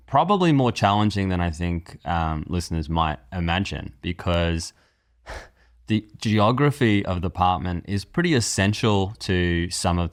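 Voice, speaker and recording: Low-voiced man in podcast conversation. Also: no room sound, clean studio sound. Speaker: co-writer and podcast guest.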